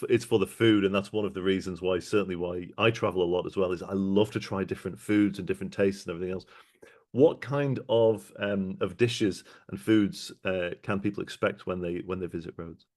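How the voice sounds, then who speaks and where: A man's voice: sits low, darkish tone. A man hosting a travel podcast, asking long, rambling questions in a friendly way. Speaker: podcast host. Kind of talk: conversational podcast interview.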